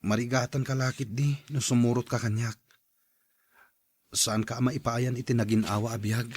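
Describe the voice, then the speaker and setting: A man's voice: mid-range voice, little pitch movement, normal speed, dry recording, lightly acted. An actor playing a poor, hesitant young male suitor in a radio drama, sounding troubled. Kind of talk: Ilocano radio drama acting.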